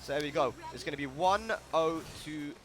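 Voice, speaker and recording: A man commentating a fighting game tournament, excitable and joking. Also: on the high side, brisk. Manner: excitable, laughs often, jokes around. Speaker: esports commentator. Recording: commentary over loud game music.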